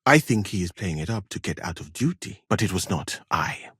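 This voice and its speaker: A courteous, scholarly man of the medieval world. His voice is fairly low and very husky, with formal, measured phrasing. The recording is dry and close, as game dialogue is. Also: sing-song, fairly bright tone, no echo, exaggerated voice. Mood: composed, courteous. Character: learned, courteous medieval man from Mali, well-travelled scholar. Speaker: video game character.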